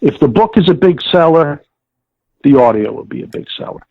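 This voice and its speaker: An older man being interviewed, recalling past work in a halting, deliberate, wordy way and often correcting himself. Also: on the high side, lively intonation, vocal fry, dry recording. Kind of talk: reminiscing interview. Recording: tinny narrowband call, like old radio.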